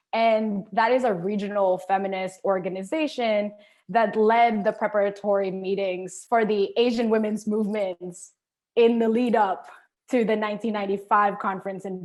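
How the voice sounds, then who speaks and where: A young woman from the Philippines speaks in a relaxed, reflective way. She introduces herself as an activist, pausing on small fillers and taking her time. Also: medium pitch. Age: young adult. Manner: thoughtful, relaxed, with frequent fillers. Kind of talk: conversational self-introduction.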